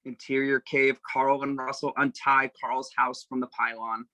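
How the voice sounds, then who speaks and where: A man reading stage directions as narrator at a table read, with measured, dramatic phrasing. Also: medium pitch, level tone, bright, forceful tone, no echo, rough recording.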